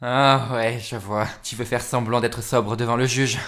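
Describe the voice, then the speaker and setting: A man's voice: medium pitch, fairly even intonation, unhurried, a bit bright, close to an everyday voice. A man playing an insolent, bitter seventeen-year-old son defying his mother in a fan-dubbed TV drama, casual, sarcastic and confrontational. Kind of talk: amateur fan dub of TV drama. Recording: music under the voice. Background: music.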